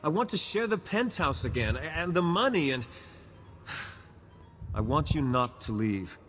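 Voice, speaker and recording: A man's voice: a little high, big pitch swings, unhurried, fairly bright tone. A man playing a meek, lovesick jilted gambler in a video game, hesitant, stammering and earnest. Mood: pleading. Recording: long reverb, music behind.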